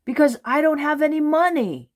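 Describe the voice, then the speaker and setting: A woman voicing a hurried, excitable cartoon man in a children's storybook, with an expressive, exaggerated delivery. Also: sits high, pitched above the performer's own voice. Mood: glum. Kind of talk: children's storybook read-aloud.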